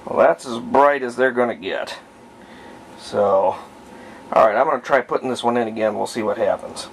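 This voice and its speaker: A man narrating casual hands-on repair work, thinking aloud in short, halting phrases. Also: mid-range voice, gravelly, slight background noise, rough recording. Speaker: hobbyist demonstrating antique radio repair. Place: room at home, indoors.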